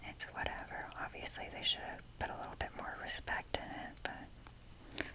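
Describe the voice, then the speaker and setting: A woman whispering in a breathy voice as she rambles casually and wryly in an informal, fannish ASMR style. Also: normal speed. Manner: informal, fannish, wry, mildly sweary. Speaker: ASMR video creator showing a toy collection.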